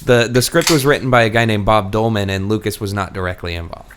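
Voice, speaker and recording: A man's voice: lowish voice, fast talker, a bit bright, a little hiss or hum. A man co-hosting a casual, comedic book discussion, rambling and often laughing through his sentences. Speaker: podcast co-host.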